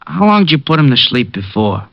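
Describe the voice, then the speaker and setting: A gruff, scheming hoodlum in an old radio crime drama, with a mid-range voice that swings up and down with plenty of life. He talks like a tough guy plotting his next move. The sound is dull and lo-fi, like an old broadcast. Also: fairly high pitch, very animated, a little fast. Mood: menacing. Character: scheming male small-time hoodlum.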